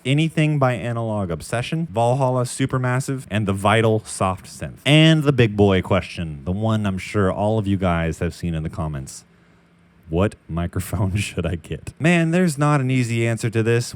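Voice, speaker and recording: A young man in his twenties hosting a casual Q and A vlog, explaining microphone choices in a frank, chatty way, recorded close on a dynamic mic in a makeshift space. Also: lowish voice. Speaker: audio YouTuber. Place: temporary makeshift room near a construction site.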